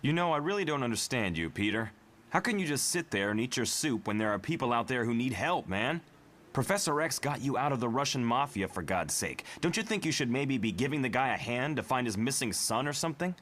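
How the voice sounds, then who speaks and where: A man acts out an earnest young superhero leader from a motion comic. His medium-pitched voice is colloquial and a little reproachful. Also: lively intonation, a little fast, a bit bright, lightly acted.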